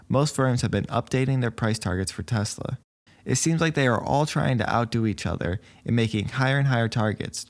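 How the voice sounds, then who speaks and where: A man hosting an online video, giving scripted news commentary in a measured, explanatory way with his own opinions mixed in. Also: medium pitch. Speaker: YouTube host commenting on company and investing news.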